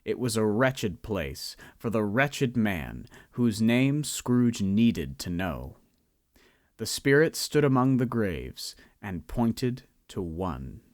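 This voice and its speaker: A young man narrating a radio drama in a measured, storytelling style. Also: fairly low pitch, sing-song, no room sound, clean studio sound. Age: young adult.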